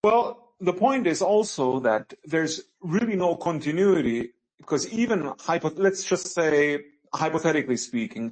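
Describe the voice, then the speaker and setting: A man on a podcast, speaking in measured casual political chat, hedging with "basically". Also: fairly high pitch, rough recording. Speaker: podcast guest.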